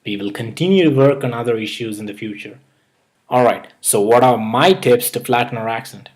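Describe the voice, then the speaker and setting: A man's voice: mid-range voice, a little fast. A young man with a mild Indian accent, hosting his own channel and reading earnest, step-by-step advice in a scripted tutorial. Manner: earnest, advice-giving, list-by-list delivery. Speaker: YouTube host.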